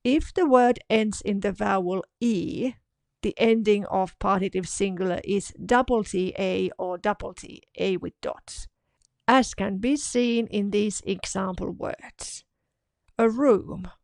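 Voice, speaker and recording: A woman narrates a scripted language lesson, saying each example word twice, slowly and deliberately. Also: medium pitch, darkish tone, dry recording, clean studio sound. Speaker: language teacher.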